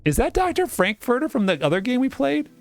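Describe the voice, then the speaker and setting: Man, very lively pitch, casual joking game streamer reading aloud, music underneath. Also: a little fast, vocal fry, no room sound. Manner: joking, riffing on the text. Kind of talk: casual let's-play commentary and read-aloud narration.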